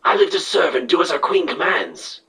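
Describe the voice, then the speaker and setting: A man voicing a timid, confused, obedient insect-like drone in an audio story, raspy and hesitant. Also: a little high, lively intonation, moderate pace, pushed and bright, echoey room, heavily acted. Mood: fearful, confused. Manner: hesitant, stammering.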